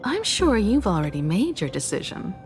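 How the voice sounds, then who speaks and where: An actress playing a composed, enigmatic female guide in an anime game, measured and knowing, with sly humour. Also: medium pitch, sing-song, over music. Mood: calm, guiding. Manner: measured, knowing, quietly teasing.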